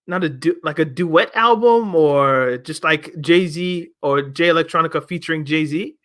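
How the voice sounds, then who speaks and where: A young man with a fairly high voice reviews an album in casual chat. He argues his points emphatically and fires off rhetorical questions. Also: a little slow, a bit bright. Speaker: music review host.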